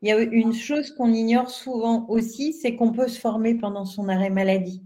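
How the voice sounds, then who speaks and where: A woman's voice: fairly high pitch, fairly even intonation, normal speed, mellow. Earnest Frenchwoman on a webinar panel. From northern France. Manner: earnest and explanatory, with frequent hesitations. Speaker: panelist, self-employed business owner.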